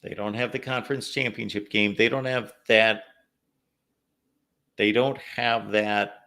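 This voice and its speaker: A man hosting a live call-in sports show, a little raspy from no sleep, chatting through viewer comments and game analysis. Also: lowish voice, bright, forceful tone. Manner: reads viewer comments aloud, conversational analysis. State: slightly raspy from lack of sleep. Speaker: host.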